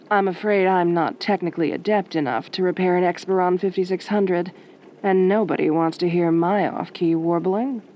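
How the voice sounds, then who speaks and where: A woman playing a sultry, sardonic late-night radio DJ in a soft, velvety, teasing voice. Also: on the low side, a little hiss or hum, close to an everyday voice. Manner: flirtatious, teasing, wry. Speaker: radio host character.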